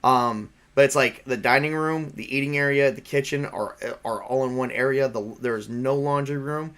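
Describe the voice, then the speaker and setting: A man's voice: medium pitch, normal speed, fairly bright tone. A man casually improvising as game master, rambling and rephrasing as he narrates and banters in character. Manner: rambling, repeats and rephrases himself, makes spoken sound effects.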